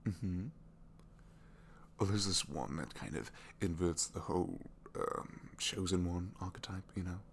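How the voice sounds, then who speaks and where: A man playing a captured supervillain in an intimate ASMR roleplay, a gentle, bookish dreamer who speaks softly and hesitantly, often sinking toward a whisper. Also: low voice, lively intonation, a little slow, creaky, a little hiss or hum, heavily acted. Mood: geeky, wistful. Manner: hesitant, soft-spoken, rambling, often dropping to whispers.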